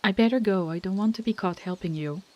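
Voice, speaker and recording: A woman's voice: mid-range voice, quick pace, lightly acted. A woman voicing a small, friendly young apprentice cat in an amateur audio story, on a muffled microphone.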